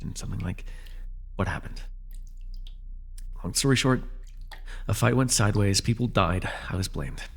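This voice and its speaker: Jaded supervillain with a tender streak, played by a man: deep, husky, intimate ASMR roleplay delivery, soothing yet sardonic. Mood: guarded, bitter. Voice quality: gravelly, husky. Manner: soothing, measured, with wry sarcastic edge. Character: jaded fallen-hero villain, male telepath, protective and reluctantly tender.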